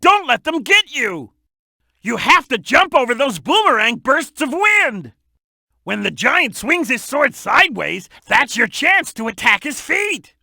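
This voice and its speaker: A woman voicing an excitable, cheeky little boy animal sidekick in a video game, with a childlike voice and eager, emphatic delivery. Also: high-pitched, unhurried, creaky, dry recording, studio quality, heavily acted. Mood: eager, instructive. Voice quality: youthful, childlike. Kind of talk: video game character hint lines.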